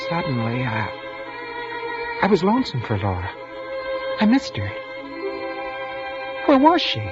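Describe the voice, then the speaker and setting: A haunted, guilt-ridden husband narrating a vintage radio thriller. His delivery is intense and halting, at a middling pitch. The sound is muffled, with music clearly underneath. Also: huge pitch swings. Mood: anguished, regretful. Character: nervous, guilt-ridden husband plotting to poison his wife. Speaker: narrator and lead actor. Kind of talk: 1940s radio thriller acting, first-person narration.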